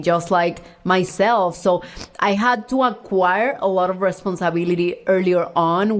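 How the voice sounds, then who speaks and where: Earnest Cuban-born woman with Puerto Rican accent. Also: mid-range voice. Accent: Puerto Rican Spanish-accented English. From Cuba. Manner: earnest, heartfelt, unhurried storytelling. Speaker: podcast host and coach. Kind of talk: reflective solo podcast monologue.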